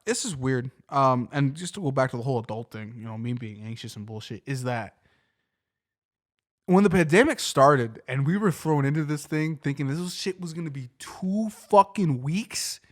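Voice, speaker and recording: A man's voice: medium pitch, animated, moderate pace. A young adult man co-hosting a podcast, chatting casually in a rambling way and swearing often. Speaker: podcast co-host. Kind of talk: casual chat.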